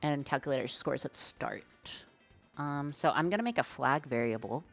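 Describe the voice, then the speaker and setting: A woman's voice: rumbling low, moderate pace, vocal fry, faint music behind. A woman streaming live, thinking aloud as she writes code and chats with viewers in a slangy, casual way. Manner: thinks aloud while coding, slangy and chatty. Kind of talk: live-stream coding chat.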